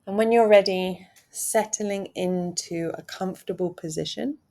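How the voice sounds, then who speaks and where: A woman's calm voice guides a meditation at a very slow, unhurried pace. Her pitch sits in a comfortable middle range, and she lets soft pauses fall between her gentle instructions.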